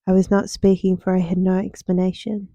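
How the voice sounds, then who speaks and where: Hushed, very dark-toned woman reading a story. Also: fairly low pitch, completely flat delivery, a little fast, no room sound. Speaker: writer reading her own book. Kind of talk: ASMR storybook narration.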